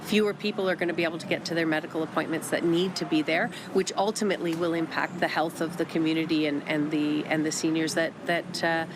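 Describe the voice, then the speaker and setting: A man's voice: on the high side, fairly even intonation, quick pace, few pauses. A man giving unscripted interview remarks outdoors, with traffic noise in the recording. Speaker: news interviewee. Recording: noisy outdoor audio with traffic.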